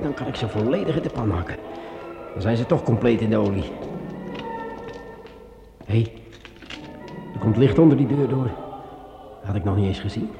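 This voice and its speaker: An actor playing a jittery swordsman in a radio drama, talking to himself in halting, repeated phrases. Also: fairly low pitch. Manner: agitated self-talk, halting and repeating words. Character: jittery man with a sword alone in a dark cellar, muttering to himself. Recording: echoey, with loud music behind.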